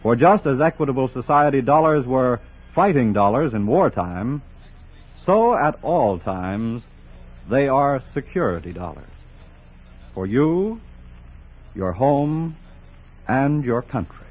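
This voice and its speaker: Husky, animated old-time radio announcer man. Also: medium pitch, slow, pauses often. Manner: emphatic, polished, formal. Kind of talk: 1940s radio sponsor announcement. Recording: muffled 1940s radio broadcast.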